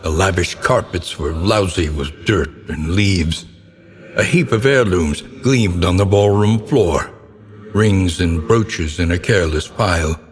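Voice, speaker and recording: A grim, foreboding storyteller in a dark gothic game. The man's voice sits very low and moves slowly, each phrase weighed with theatrical gravity. It rings out in a long reverb. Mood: grave, ominous. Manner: grave, theatrical, measured, ornate delivery. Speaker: narrator. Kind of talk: dramatic scripted gothic game narration. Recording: long reverb, noisy ambient bed.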